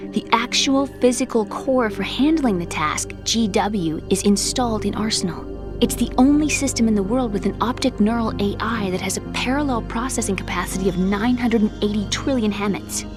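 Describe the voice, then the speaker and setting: A woman's voice: medium pitch, normal speed, music in the background, close to an everyday voice. An actress voicing an earnest, brainy young woman computer scientist in a video game, lecturing precisely and a little haughtily with pointed questions. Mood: insistent. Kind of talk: scripted game cutscene dialogue.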